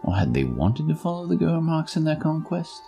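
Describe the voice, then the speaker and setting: A man's voice: a little high, wildly swooping pitch, fairly quick, creaky, warm tone. A man delivering scripted, dramatic narration for a fantasy story. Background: music.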